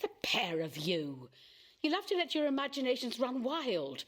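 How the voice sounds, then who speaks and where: An actress playing a sensible, sharp-tongued middle-aged wife and mother in a radio play, brisk and chiding. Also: a little high, sing-song. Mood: exasperated, firm.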